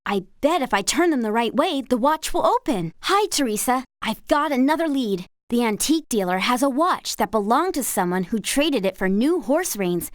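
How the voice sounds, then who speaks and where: A woman with an American accent playing a cheerful, helpful young horse rider and amateur sleuth in a game, upbeat and crisply spoken. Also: a little high, very animated, moderate pace, few pauses, no room sound, studio quality. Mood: polite, curious. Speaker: voice actor. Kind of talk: scripted game character dialogue.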